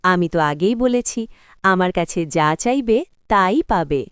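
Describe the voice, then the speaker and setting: A woman voicing a gentle, coaxing magical fairy in a fairy-tale cartoon, with formal storybook phrasing. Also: lowish voice, animated, a little slow, a little hiss or hum. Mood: assured, obliging. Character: kindly magical cave fairy, female, testing with treasure.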